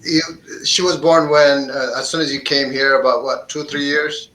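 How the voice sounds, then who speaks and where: A man's voice: medium pitch, steady tone, normal speed, sharp tone. A man hosting an interview, asking questions in loose, stumbling phrases. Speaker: interviewer host. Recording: roomy echo.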